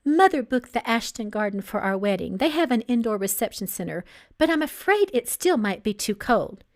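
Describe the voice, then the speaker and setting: Storybook bride-to-be played by a woman reader: young, pampered, high-pitched, flustered, coaxing, very animated. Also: normal speed. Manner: flustered, stammering, pleading. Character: pampered, wheedling young bride-to-be, nervous and manipulative.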